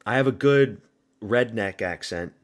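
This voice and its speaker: Lower-voiced man chatting on a stream. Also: a little slow. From American South. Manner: loose and profane, chatting with viewers. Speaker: streamer hosting a watch party. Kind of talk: casual live-stream commentary.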